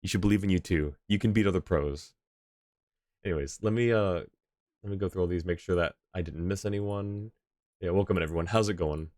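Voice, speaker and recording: A low male voice, recorded close and dry, talks casually on a game livestream. He rambles along, thinking aloud. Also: a little fast, darkish tone, no echo. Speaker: live game streamer.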